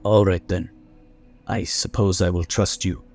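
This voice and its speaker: Casting: a man as a guarded father, very deep voice, faint music under it. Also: level tone, a little slow, creaky, darkish tone. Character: wary deep-voiced father of a gifted boy. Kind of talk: audio drama acting.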